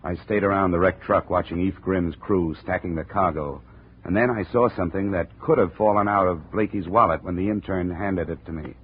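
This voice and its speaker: An actor playing a brisk, dry American insurance investigator who also narrates a 1950s radio drama. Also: male voice, deep voice, a little hiss or hum, natural voice. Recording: narrow, muffled old radio recording.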